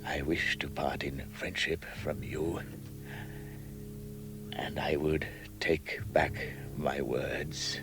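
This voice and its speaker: A man's voice: very deep voice, fairly even intonation, slow, pauses often, over music, heavily acted. A man acting a proud, repentant dwarf king on his deathbed in a 1960s radio drama, weak, solemn and whispery. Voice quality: breathy, whispery. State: weak, dying.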